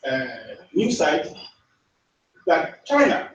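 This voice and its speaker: An African man, not a native English speaker, makes an emphatic, rhetorical point from the floor of a university conference. His pitch rides high and lively. He sounds distant and echoey, picked up from across the hall. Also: slow. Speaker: audience member asking a question. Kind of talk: conference Q&A question. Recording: echoey lecture hall, far from mic.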